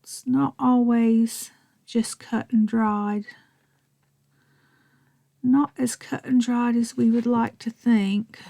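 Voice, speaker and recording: A middle-aged Southern woman chats and rambles slowly while she crafts, her voice gravelly and mellow. She drifts into half-whispers and pokes fun at herself. The sound is close and dry. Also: on the high side, dry recording. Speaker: crafting vlogger. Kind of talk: chatty craft tutorial narration.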